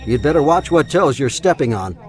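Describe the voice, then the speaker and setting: A man playing a wealthy, self-important older schemer in an audio drama, pompous and smooth-talking. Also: mid-range voice, big pitch swings, brisk, soft background music, lightly acted. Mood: pompous, pressuring.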